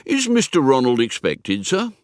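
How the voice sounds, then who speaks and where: A man's voice: medium pitch, wildly swooping pitch, moderate pace, no room sound, clean studio sound, voice pushed up. A man voicing a dignified, austere English butler with a formal, deferential manner in a comic audiobook. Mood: polite inquiry. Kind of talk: comic audiobook character acting.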